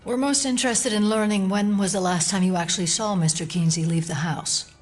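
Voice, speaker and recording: An actress playing a composed, skeptical FBI agent with a measured, polite delivery in an audio drama. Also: female voice, medium pitch, fairly even intonation, faint music behind, natural voice. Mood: polite, probing. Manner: measured, polite, matter-of-fact.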